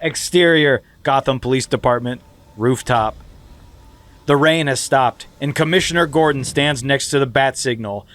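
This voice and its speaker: A man's voice: medium pitch, normal speed, a bit bright. A man, a podcast co-host, narrating stage directions in a comedic script reading, now and then stifling laughter.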